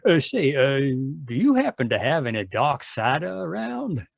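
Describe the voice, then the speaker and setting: An actor playing a genial, thirsty drunkard with a folksy, wheedling way of talking, in an old-time radio drama. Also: male voice, a little high, big pitch swings, moderate pace, gravelly, no echo, exaggerated voice. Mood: boozy, wheedling.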